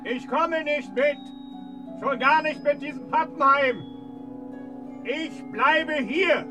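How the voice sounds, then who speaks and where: A man's voice: extremely high pitch, takes it slowly, recorded from a distance, music in the background. An actor in a German radio play playing a stubborn, withdrawn man who will not budge. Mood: stubborn refusal.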